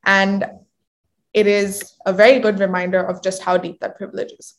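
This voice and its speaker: A woman's voice: mid-range voice, level tone, moderate pace, poor audio. A woman in casual conversation, reflecting aloud and searching for her words, with frequent "like" and "right".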